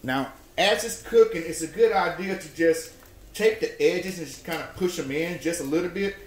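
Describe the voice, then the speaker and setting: A man hosting a home cooking show, explaining each step in a folksy, relaxed way. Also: on the high side, moderate pace. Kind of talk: casual cooking demonstration. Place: home kitchen at the stove. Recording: echoey kitchen room. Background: oil frying and sizzling in a skillet.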